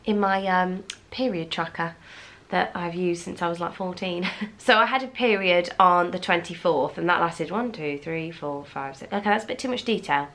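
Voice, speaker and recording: A woman's voice: on the low side, moderate pace, a bit bright. A young woman vlogger from England chatting candidly to camera, with a blocked, fluey nose and lots of fillers and restarts. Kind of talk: casual chat to camera.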